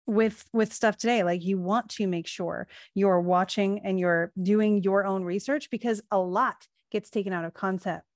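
Woman, podcast co-host, casual explainer, mid-pitched voice, dry close mic. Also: fairly even intonation, normal speed, hardly any pauses, a little mellow, no room sound. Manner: thoughtful, explains at length with many fillers and restarts. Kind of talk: casual spiritual podcast chat.

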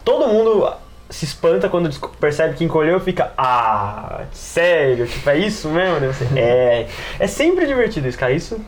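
A man's voice: fairly high pitch, sing-song, normal speed. A man with a Brazilian accent hosting a casual podcast discussion in a chatty, slangy, rambling way. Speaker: podcast host and game master.

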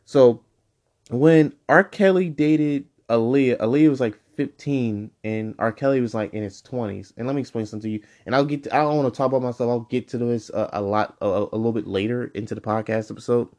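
A man's voice: medium pitch, a little fast, darkish tone. A man in his twenties hosting his own podcast in a casual, rambling way, full of fillers and self-corrections. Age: 20s. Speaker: podcast host. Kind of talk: casual solo podcast monologue.

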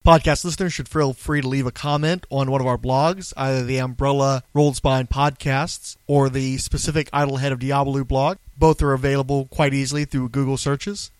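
A man's voice: mid-range voice, clear, resonant tone, no room sound. A man hosting a podcast, reading out notes and coaching others in their line readings. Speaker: podcast host and director of amateur radio play. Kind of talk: scripted read credits and casual coaching of line readings.

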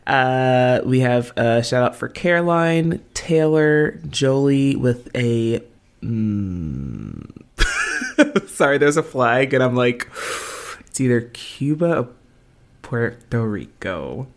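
A woman's voice: a bass voice, very animated, slow pace. A woman co-hosting a podcast from an empty apartment, chatting casually with frequent giggles and fillers. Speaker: podcast co-host. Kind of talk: casual chat.

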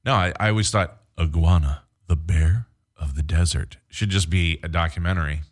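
A man, a podcast guest, chatting casually and joking in a deadpan way. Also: low voice, normal speed. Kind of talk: casual chat.

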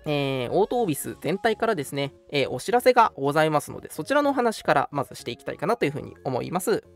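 A man's voice: a little high, sing-song, fast talker, creaky. A man hosting a casual radio show, chatting politely and making announcements over background music.

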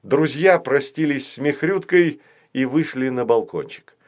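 A man's voice: mid-range voice, expressive, measured pace. A man reading scripted narration as the storyteller of a children's fairy tale.

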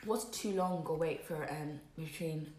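A woman of about twenty-one chiming in with quick questions during a casual group chat. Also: low-pitched, a little mellow, echoey room, a little hiss or hum. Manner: quick interjections.

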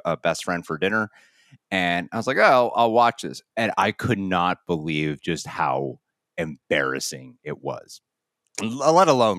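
A man's voice: low-pitched, fairly bright tone, no room sound, studio quality. A man co-hosting a podcast, talking in a loose, rambling way with frequent laughs and restarts. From United States. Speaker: podcast co-host. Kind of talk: casual chat.

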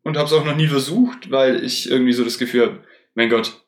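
A man's voice: medium pitch, steady tone, fairly quick. A young man in casual chat as a podcast co-host, eager, candid and joking. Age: young adult. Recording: echoey room.

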